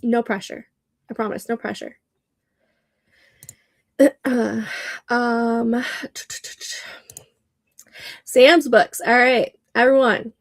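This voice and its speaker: Congested nasal lady chatting on a stream, slow and gravelly, dry close sound. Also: fairly high pitch, no echo. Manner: chatty, laughs often, reads chat aloud. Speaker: livestream host. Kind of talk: casual livestream chat reading comments.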